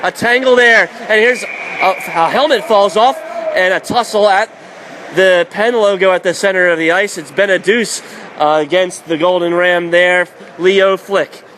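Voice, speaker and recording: High-pitched man calling hockey over loud noise. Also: unhurried. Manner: excitable on shots, clipped present-tense calls. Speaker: play-by-play hockey announcer. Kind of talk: live radio sports play-by-play commentary. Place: indoor ice hockey arena. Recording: loud rink noise behind the voice.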